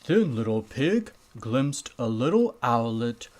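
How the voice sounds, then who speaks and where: A man's voice: medium pitch, sing-song, slow, darkish tone, slight background noise. A man voicing a nice, naive puppet who hosts and narrates a children's story show. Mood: storytelling.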